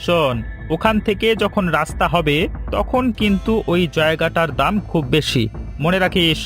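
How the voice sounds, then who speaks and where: A man playing a high-voiced, protective village uncle. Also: normal speed, fairly bright tone, soft background music, lightly acted. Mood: concerned, firm. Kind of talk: cartoon folk-tale acting.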